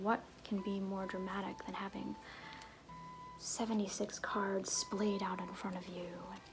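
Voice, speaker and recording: A woman playing a flirtatious, roguish circus fool in a soft-spoken ASMR roleplay with whispers, theatrical and sly, with hesitant pauses. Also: medium pitch.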